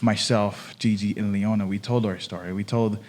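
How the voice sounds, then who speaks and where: A man's voice: on the low side, fairly even intonation, moderate pace, darkish tone. A man from Haiti co-hosting a podcast in a casual, conversational style. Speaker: podcast co-host.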